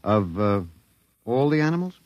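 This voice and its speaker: An actor playing a bewildered, wryly resigned professor, halting and muttering, in a 1950s radio drama. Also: male voice, mid-range voice, big pitch swings, no room sound, lo-fi sound, lightly acted. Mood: wry, subdued. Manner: halting, muttering, dryly wry. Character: bewildered, wry anthropology professor, last man on Earth.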